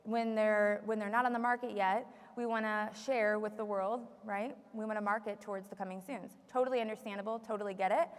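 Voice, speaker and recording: A woman leading a live software tutorial as a trainer, explaining step by step to a room. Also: medium pitch, steady tone, fairly quick, few pauses. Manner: explanatory, repeats audience questions for the room. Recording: long echoey room reverb.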